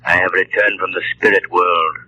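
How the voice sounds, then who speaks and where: An actor playing a mocking, menacing ghostly avenger through a radio filter, sardonic and deliberate, in old radio drama style. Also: male voice, sits low, fairly even intonation, bright, forceful tone, slight background noise, poor audio. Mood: mocking, ominous. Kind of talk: 1940s radio drama acting.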